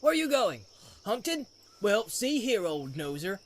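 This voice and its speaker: A man's voice: high voice, sing-song, a little slow, fairly bright tone, pitched above the performer's own voice, exaggerated voice. A man voicing a loyal, plain-spoken hobbit servant with blunt, homely, rustic speech in a storybook reading. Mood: brisk, teasing.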